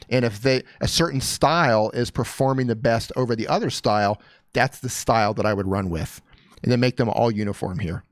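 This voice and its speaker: A man's voice: medium pitch, no room sound. A man hosting a live stream, giving casual, upbeat coaching advice on channels and reading viewer comments aloud. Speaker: YouTube coach reviewing channels.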